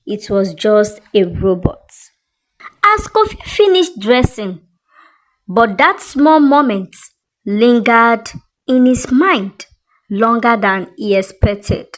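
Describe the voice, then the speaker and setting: A woman narrating a scripted dramatic story in an expressive, suspenseful way. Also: on the high side, rough recording.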